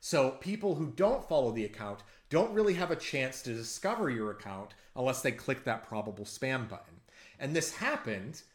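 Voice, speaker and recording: Animated rambling man in a roomy space. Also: mid-range voice, normal speed. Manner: rambling, animated, lots of restarts and fillers. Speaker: podcast co-host. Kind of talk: casual political chat.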